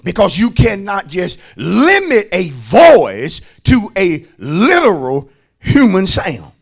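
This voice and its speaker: A man's voice: high voice, huge pitch swings, slow, creaky, husky. A man preaching as a pastor, emphatic, repeating phrases and calling on his listeners to respond. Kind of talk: sermon.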